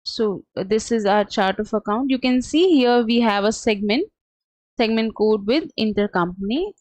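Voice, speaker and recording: A woman presenting a live training webinar, explaining step by step in a halting, instructional way. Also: on the high side, darkish tone, no room sound. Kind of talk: live instructional screen-share walkthrough.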